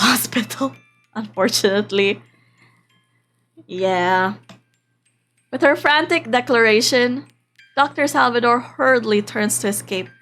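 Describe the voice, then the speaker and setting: Higher-voiced playful woman reading over music. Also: fairly bright tone. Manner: playful, dramatic read-aloud. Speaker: streamer. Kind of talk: reading a visual novel aloud with casual asides. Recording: music behind the voice. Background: game background music.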